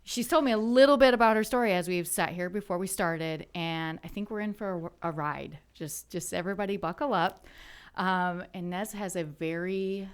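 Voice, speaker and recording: Slightly low-voiced woman talk-show host. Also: normal speed, smooth. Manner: friendly, chatty, laughs often. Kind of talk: interview. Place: studio.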